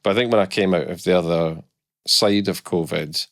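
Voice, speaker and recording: Deep gravelly Scottish man, reflective. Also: moderate pace, dry recording, studio quality. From Scotland. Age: middle-aged. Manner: reflective, candid, many hesitant restarts. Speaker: guest. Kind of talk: casual podcast interview.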